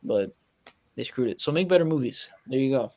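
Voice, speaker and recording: A man's voice: mid-range voice, expressive, brisk, mellow. A man co-hosting a podcast, giving casual, emphatic and exasperated opinions on films. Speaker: podcast co-host. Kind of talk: casual film review chat.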